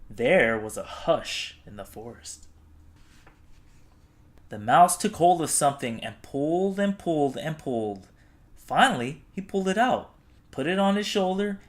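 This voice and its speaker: A man narrating a children's storybook expressively, with dramatic, deliberate phrasing. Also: mid-range voice. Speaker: storybook reader.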